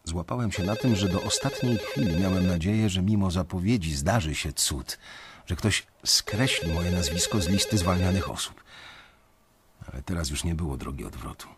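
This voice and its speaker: An actor playing an anxious young banker who narrates his own story in a confiding, measured, dramatic way in an audio drama. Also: low voice, crowd noise, close to an everyday voice. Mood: reflective, uneasy. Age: young adult.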